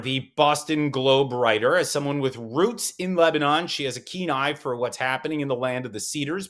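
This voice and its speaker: A man's voice: mid-range voice, fairly bright tone. Wry man reading a news brief. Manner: wry, punchy delivery with sarcastic asides. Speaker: podcast host. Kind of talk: scripted news briefing with commentary.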